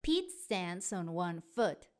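A woman narrating children's storybooks in an expressive way aimed at young listeners. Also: fairly low pitch, slow, clean tone. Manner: expressive, child-directed delivery. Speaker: storyteller and show host.

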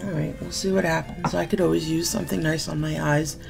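A woman's voice: deep voice, steady tone, brisk, creaky, music in the background. A woman in her thirties, sick and losing her voice, chatting casually and candidly about beauty products on a desk webcam at home. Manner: chatty, candid, mildly sarcastic. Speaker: beauty vlogger. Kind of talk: casual product unboxing chat. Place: small room at home, indoors.

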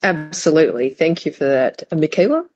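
A woman's voice: sits low, lively intonation, fairly quick, a little mellow, dry recording, highs cut off. A woman hosting an online panel on a headset, affirming the speakers and asking questions in a conversational way. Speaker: panel host. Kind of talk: moderating a panel discussion. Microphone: headset on video call.

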